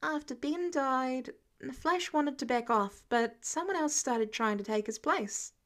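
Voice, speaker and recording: Woman as earnest teenage boy, high-pitched. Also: a little slow, fairly bright tone. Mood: nonchalant. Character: Peter, earnest, awkward, secretive teenage boy hero.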